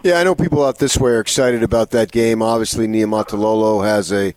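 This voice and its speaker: A man's voice: fairly low pitch, steady tone, a little fast, darkish tone, dry recording. A man co-hosting a sports radio show, talking casually and conversationally as he builds up to questions.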